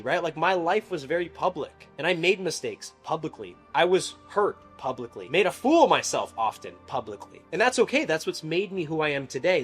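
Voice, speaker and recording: Higher-pitched young man speaking earnestly over music. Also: fairly bright tone. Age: 20s. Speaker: life coach and video creator. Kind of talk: motivational monologue to camera.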